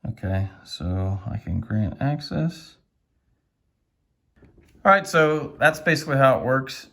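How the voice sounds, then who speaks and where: A man's voice: medium pitch, sing-song, takes it slowly, vocal fry, fairly bright tone. A man giving a casual hands-on tutorial, thinking aloud in a tentative way as he demonstrates a device. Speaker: product reviewer demonstrating a device.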